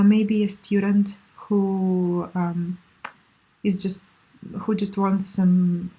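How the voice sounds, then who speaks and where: A woman's voice: mid-range voice, dark tone, breathy edge, poor audio. A young woman with a Russian accent, giving language-learning advice in a hesitant, unscripted way with many fillers. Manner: hesitant, many ums and uhs, restarts. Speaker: language learner sharing tips.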